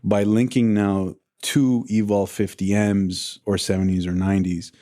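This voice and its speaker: Man, podcast host, lowish voice, casual enthusiastic chat. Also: flat delivery, measured pace, dark tone.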